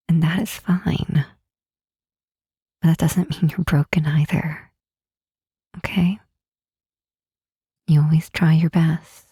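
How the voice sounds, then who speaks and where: A woman playing a gentle, reassuring Demon Queen in an ASMR comfort roleplay, speaking in a soft, husky half-whisper with slow, soothing earnestness. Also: sits low, no echo, studio quality, full character voice. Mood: tender, reassuring.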